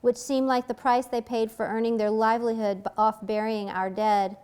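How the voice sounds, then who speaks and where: A woman voicing an earnest, reserved young Vietnamese shopkeeper who speaks halting, broken English with a Vietnamese accent. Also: on the high side, little pitch movement, a little mellow, clear, resonant tone, lightly acted.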